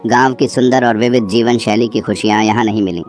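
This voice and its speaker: A man's voice: lowish voice, steady tone, brisk, darkish tone, faint music behind. A man reading a scripted horror story as narrator.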